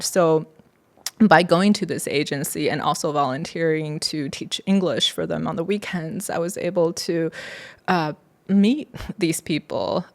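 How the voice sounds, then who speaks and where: A middle-aged woman from China who grew up partly in the US, an academic telling her story conversationally as an interview guest. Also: sits low. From born in China, moved to the US at eight. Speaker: podcast guest, sociology professor.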